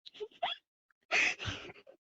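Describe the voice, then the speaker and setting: A woman's voice: drawn-out words, sharp tone. A young woman on a phone call, giggling, coy and teasing in casual chat. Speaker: caller. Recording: dull lo-fi phone call recording.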